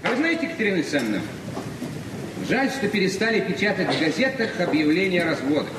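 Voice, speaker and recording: An actor playing a chatty, self-satisfied middle-aged journalist and lecturer in a 1970s Soviet radio play. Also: male voice, sits high, sing-song, quick pace. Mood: glib, self-satisfied. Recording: noisy old radio recording, roomy and distant.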